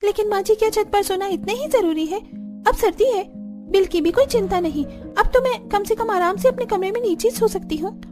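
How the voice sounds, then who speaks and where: A woman's voice: very high-pitched, moderate pace, music in the background. A woman voicing a fed-up young village daughter-in-law in a cartoon, complaining emphatically. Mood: protesting.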